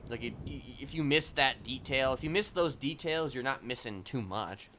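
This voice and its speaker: A man's voice: medium pitch, normal speed, pushed and bright, slight background noise. A man chatting casually on a livestream in a rambling, hedging, conversational way. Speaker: live streamer. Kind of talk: casual chat during a game livestream.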